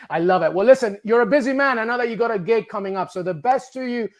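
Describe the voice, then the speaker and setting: A male sports show host from Peru, a native Spanish speaker, with a fairly high voice. He interviews his guest in an upbeat, enthusiastic way, full of praise and quick questions.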